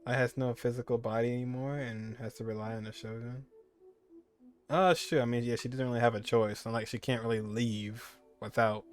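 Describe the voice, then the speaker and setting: A man's voice: mid-range voice, little pitch movement, brisk. A man giving casual, laid-back commentary over a video game he is playing, chuckling between reactions. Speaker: gaming streamer.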